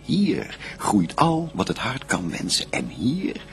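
Devout first man and adoring bridegroom, low gravelly voice, lyrical sweeping declamation, solemn verse radio play. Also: huge pitch swings, slow pace, soft background music, heavily acted. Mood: reverent.

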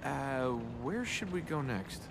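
A man's voice: medium pitch, lively intonation, slow, creaky, noisy background. An American man playing a cocky, wisecracking young adventurer prince in a video game, with flippant, sardonic banter. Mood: teasing, relaxed. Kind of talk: video game cutscene acting.

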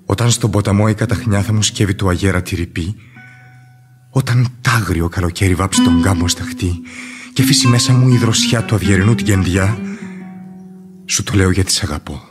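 A man's voice: low-pitched, loud music behind the voice, natural voice. An actor playing a devoted, solemn young man in love, declaiming poetic verse in a radio play. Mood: fervent. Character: ardent departing young male lover, devoted, solemn. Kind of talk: lyrical radio theatre verse acting.

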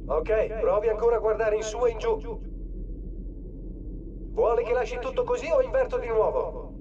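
High-voiced, formal military technician played by a man. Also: normal speed, lightly acted. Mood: methodical. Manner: procedural, respectful. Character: attentive, formal military systems technician, adult man, methodical. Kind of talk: video game voice acting. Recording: dull band-limited sound, clearly noisy background.

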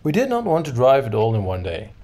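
A man's voice: mid-range voice, wildly swooping pitch, quick pace. A man in his thirties narrating a travel vlog. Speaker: travel vlogger.

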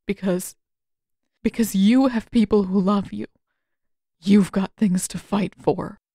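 A woman voicing an anguished, lonely teenage boy in an audio story, speaking in a halting, wounded way. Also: mid-range voice, steady tone, mellow, dry recording, clean studio sound. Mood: hurt, halting.

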